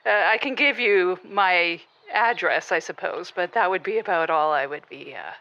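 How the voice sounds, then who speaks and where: A woman's voice: fairly low pitch, moderate pace, sharp tone, no room sound, lo-fi sound. A woman playing an entitled, lazy, self-satisfied caller to a radio comedy show, breezy and demanding. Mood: breezy, impatient. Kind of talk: radio call-in comedy drama.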